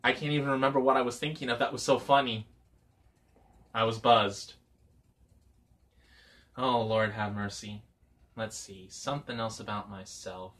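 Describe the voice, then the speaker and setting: Rambling young man, bright voice. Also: medium pitch, steady tone, normal speed, long pauses. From Michigan, US. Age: 20s. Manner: rambling, many "you know" fillers. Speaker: vlogger. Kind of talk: casual talk to camera.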